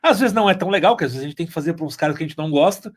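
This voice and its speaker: Homem gaúcho de voz mais aguda. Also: male voice, fairly high pitch, lively intonation, racing. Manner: often tags phrases with "né". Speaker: interview guest, musician and music teacher. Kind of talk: casual conversational storytelling.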